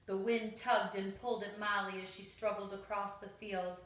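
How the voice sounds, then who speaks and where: Bright-voiced woman reading aloud, very echoey room. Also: medium pitch, normal speed. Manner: expressive, dramatic emphasis. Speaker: storybook reader. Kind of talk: scripted children's story read-aloud. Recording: roomy, echoing home recording.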